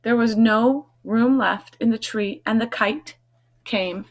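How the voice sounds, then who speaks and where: Slow-paced woman reading a picture book aloud. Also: on the high side. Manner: expressive reading, emphasizes key words, chatty questioning asides. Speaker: read-aloud host, teacher reading to children.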